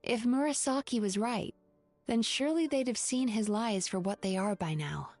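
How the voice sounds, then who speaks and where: A woman playing a composed young dream therapist in a video game, measured, clinical and articulate. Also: fairly high pitch, darkish tone, soft background music. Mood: composed, pensive.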